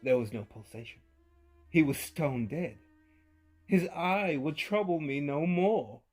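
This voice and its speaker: A man giving a theatrical reading of a story, swinging from hushed to loud. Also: on the high side, animated, measured pace. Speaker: narrator. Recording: faint music behind.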